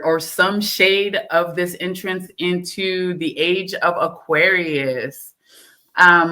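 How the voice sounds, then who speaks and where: A woman hosting a radio-style podcast, opening upbeat and asking questions in a relaxed, drawn-out way. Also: lowish voice. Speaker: podcast host. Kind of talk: casual interview hosting.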